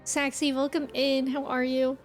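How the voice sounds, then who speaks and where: A woman's voice: sits high, level tone, moderate pace, faint music behind. A woman game streamer giving chatty, playful, casual reactions on a livestream.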